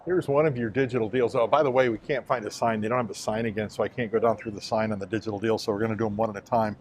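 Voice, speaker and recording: A hoarse man with a Midwestern American accent, hosting a casual shopping vlog and chatting about prices. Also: fairly low pitch, fairly even intonation, very fast, darkish tone, slight background noise. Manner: chatty, talks prices aloud. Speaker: vlog host. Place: car, then grocery store aisles.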